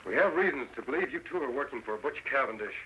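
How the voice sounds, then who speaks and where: An actor playing a masked frontier hero, commanding and decisive, giving crisp orders in a vintage radio western. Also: male voice, medium pitch, animated, quick pace, bright, forceful tone, poor audio. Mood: stern command. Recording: hissy old radio recording.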